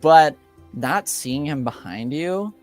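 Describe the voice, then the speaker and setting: A man narrating a tabletop roleplaying game as game master, in a halting, conversational way that addresses the player directly. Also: on the high side, lively intonation, soft background music.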